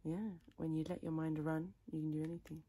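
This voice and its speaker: A woman softly improvising an ASMR personal attention role play as a tailor, chatting in a rambling, chaotic way close to the microphone. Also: low voice, flat delivery, brisk, slightly rough. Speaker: ASMR creator playing a tailor.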